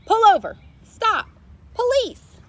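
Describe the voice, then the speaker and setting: Woman doing a yelling frantic sheriff. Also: sing-song, slow pace, full character voice. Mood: alarmed. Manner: loud high yelling. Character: frantic shouting small-town sheriff, man.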